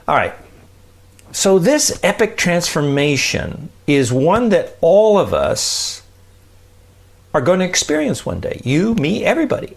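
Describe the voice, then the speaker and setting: A man's voice: a little high, gravelly. A man hosting a podcast, giving an emphatic, informal, conversational lesson, stressing key words and checking in with the listener. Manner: emphatic, with rhetorical check-ins like "right?" and "okay?". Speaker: podcast host explaining science and faith. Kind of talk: conversational teaching talk.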